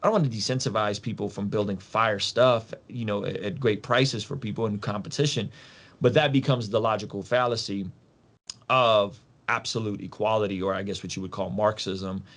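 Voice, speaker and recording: A man's voice: fairly low pitch, fairly even intonation, moderate pace, fairly bright tone. A man from Soviet Russia, a podcast guest explaining things in an emphatic, casual, slangy way, repeating phrases and posing rhetorical questions.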